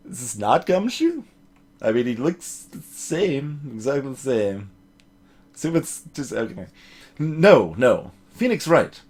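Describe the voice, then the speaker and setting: A man's voice: medium pitch, very animated, slow, a little mellow. A man doing let's play commentary, reading a game aloud and talking back to it and to his chat.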